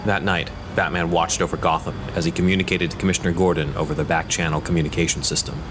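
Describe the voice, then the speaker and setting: A man's voice: low voice, level tone, fast talker, background noise. A man reading scripted narration for an audio drama in the third person.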